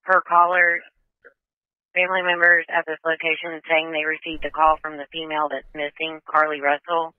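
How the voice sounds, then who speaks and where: A man's voice: a little high, completely flat delivery, moderate pace, no room sound. A man working as a dispatcher, reading out procedural call details over a two-way radio. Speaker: emergency dispatcher. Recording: phone-like narrow-band police radio audio.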